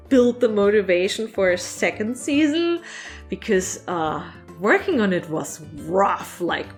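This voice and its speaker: A woman with a mid-pitched voice talks casually to camera as the host of a vlog. She is chatty and animated, leaning on words for emphasis. Faint music plays behind her. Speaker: online animator and comic creator hosting a Q&A.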